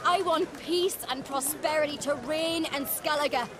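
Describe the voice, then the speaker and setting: High, measured, resolute young queen. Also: noisy background. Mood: solemn, hopeful. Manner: measured, declamatory. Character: earnest, resolute young queen addressing her people. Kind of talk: ceremonial speech, video game acting.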